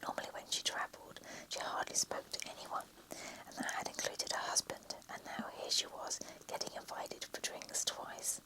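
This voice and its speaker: A reader whispering a romantic story in a soft, unvoiced hush, with small slips and self-corrections. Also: moderate pace, low-quality recording. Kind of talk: whispered story reading, ASMR.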